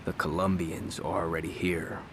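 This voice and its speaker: An actor playing a young deferential gang underboss in a video game cutscene. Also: male voice, sits low, flat delivery, normal speed, noisy background.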